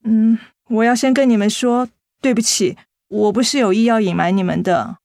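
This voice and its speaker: Gentle, apologetic Taiwanese woman, higher voice. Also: steady tone, normal speed, creaky, no room sound, clean studio sound. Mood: apologetic, pained. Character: gentle, guilt-ridden young woman hiding her troubles from friends. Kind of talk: Mandarin radio drama acting.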